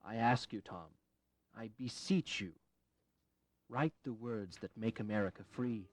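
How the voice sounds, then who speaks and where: A man's voice: on the low side, a little slow, darkish tone, dry recording, close to an everyday voice. An actor playing a blunt, fiery and eloquent congressman from Massachusetts in a historical audio drama, earnest and wry, with formal, emphatic phrasing. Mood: earnest, persuasive.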